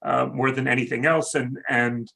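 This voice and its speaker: A man moderating a panel, with a gravelly voice and a bright, forward tone. He asks follow-up questions hesitantly, restarting often. He speaks at a middle pitch. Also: flat delivery, moderate pace. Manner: hesitant questioning, many you-knows. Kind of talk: academic panel discussion.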